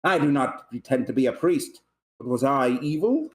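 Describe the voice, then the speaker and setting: A man's voice: mid-range voice, sing-song, normal speed, close to an everyday voice. A man playing a grandiose, self-pitying fallen lord in a script read, with pompous, lofty delivery. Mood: mournful, grandiose. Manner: pompous, declamatory, lofty diction. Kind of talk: amateur script table read.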